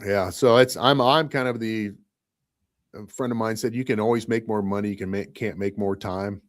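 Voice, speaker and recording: A man's voice: lowish voice, fairly even intonation, moderate pace. A man in his fifties from Michigan, talking in a rambling, reflective way in a casual podcast chat. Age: 50s. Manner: rambling, reflective, often says "you know what I mean".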